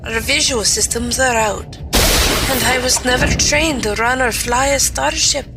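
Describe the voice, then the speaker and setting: A woman's voice: high-pitched, unhurried, over music. A woman playing an anxious, caring rabbit doctor trapped in danger in a space-adventure audio drama. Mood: grim, comforting.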